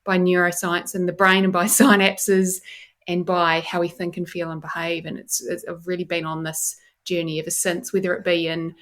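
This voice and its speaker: A woman in her forties with a New Zealand accent, explaining and telling stories as an enthusiastic, expressive podcast guest. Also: on the low side, normal speed. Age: 40s. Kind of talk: conversational interview, explaining and storytelling.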